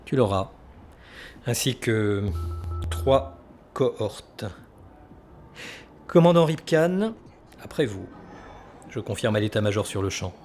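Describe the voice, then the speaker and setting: An actor playing a calculating senator who persuades with measured authority in an audio drama. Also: male voice, fairly low pitch, expressive, darkish tone, slight background noise. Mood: satisfied. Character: calculating, persuasive male senator and military schemer.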